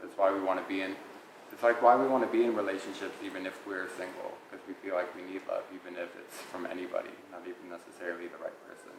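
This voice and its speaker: A man's voice: sits low, level tone, fast talker, vocal fry. A twenty-five-year-old man musing earnestly in an interview, with lots of "like". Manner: earnest, musing, says "like" often. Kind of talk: casual reflective interview answers. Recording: echoey room, faint noise.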